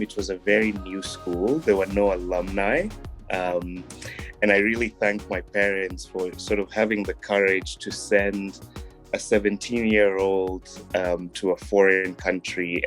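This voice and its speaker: A young man from Kenya, a guest on an interview show, talking in a relaxed, reflective way with frequent ums and restarts. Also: low-pitched, unhurried, faint music behind. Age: young adult. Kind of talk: casual interview talk.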